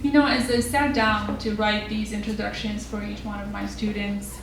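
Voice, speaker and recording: A woman's voice: mid-range voice, level tone, moderate pace, over music. A woman teacher introducing student speakers at an event, heartfelt and emotional, partly scripted and partly off the cuff. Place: large echoey room, on stage. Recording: echoey hall, distant mic, faint noise.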